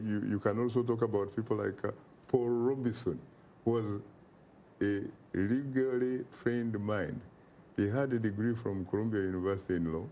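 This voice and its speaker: A man's voice: lowish voice, warm tone, slight background noise. A man from Uganda, speaking as an interview guest in an emphatic, oratorical way and repeating phrases for effect. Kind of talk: impassioned interview talk.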